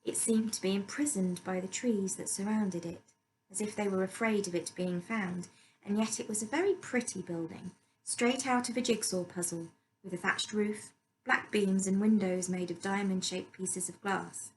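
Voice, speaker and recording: A woman's voice: mid-range voice, steady tone, normal speed, slight background noise, poor audio. A woman reading a story aloud as scripted narration.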